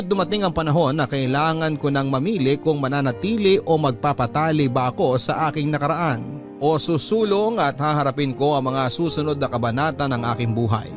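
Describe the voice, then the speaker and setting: A man narrating a dramatic first-person story as a radio host. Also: fairly high pitch. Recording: music under the voice.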